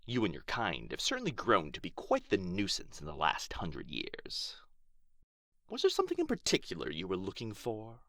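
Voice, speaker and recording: Man acting a deep, theatrical, haughty dragon. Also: pushed and bright, no echo, studio quality, exaggerated voice. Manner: theatrical, haughty, menacing, breathy muttering. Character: injured, irritable, proud male dragon guarding his hoard. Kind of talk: ASMR fantasy roleplay acting.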